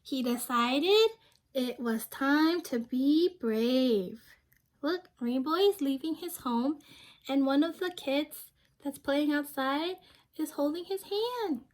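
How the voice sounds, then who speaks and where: A woman's voice: sits high, slow pace. A young woman reading a storybook aloud to children, speaking simply and expressively and prompting her listeners with questions. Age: young adult.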